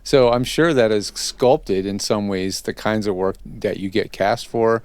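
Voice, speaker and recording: A man's voice: on the low side, a little slow, darkish tone, clear, resonant tone, dry recording. A man hosting a podcast, interviewing in a casual, conversational style.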